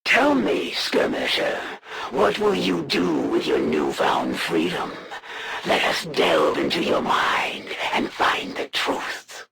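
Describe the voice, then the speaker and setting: An actress voicing a proud, cold alien assassin in a video game, speaking in a formal, theatrical, deliberate way. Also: female voice, extremely low pitch, wildly swooping pitch, creaky, background noise, full character voice. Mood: taunting, menacing. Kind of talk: video game villain voice lines.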